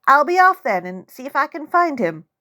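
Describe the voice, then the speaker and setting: A young boy voiced by a woman talks politely but nervously, hesitating and stammering. The pitch is lively, in the manner of an audiobook for children. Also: moderate pace, heavily acted. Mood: uneasy.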